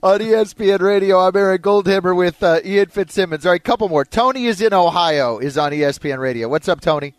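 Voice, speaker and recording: A man's voice: high voice, quick pace, few pauses, clean tone, no room sound. A man hosting a sports talk radio show in a casual, bantering style.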